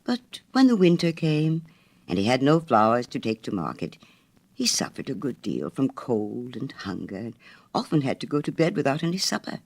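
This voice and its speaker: A man narrating a children's story from a script as an expressive storyteller. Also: medium pitch, moderate pace, vocal fry, breathy edge.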